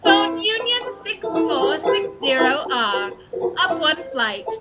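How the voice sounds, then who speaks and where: A woman's voice: high voice, big pitch swings, takes it slowly, heavily acted. A woman acting as a self-assured, theatrical lady detective in a comic radio play, declaiming pompously. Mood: salesy announcing. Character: theatrical female amateur detective explaining a murder, self-assured. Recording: music behind the voice.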